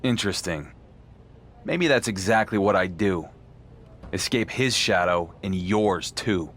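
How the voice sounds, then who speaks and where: A lowish, defiant champion wrestler. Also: male voice, lively intonation, a little slow, a bit bright, slight background noise. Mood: cool, challenging. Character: defiant, dry-witted male champion wrestler. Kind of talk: dramatic video game cutscene dialogue.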